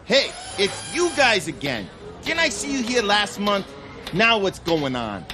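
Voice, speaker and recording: An actor playing a chatty, bemused hospital security guard in a humorous audio drama, with folksy, incredulous talk. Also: male voice, high-pitched, very animated, unhurried, over music. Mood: puzzled.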